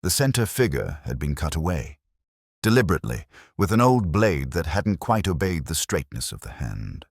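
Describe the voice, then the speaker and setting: A man narrating a scripted detective story in the first person, with a husky voice and a measured, solemn, literary delivery. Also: a bass voice, sing-song, no room sound, studio quality.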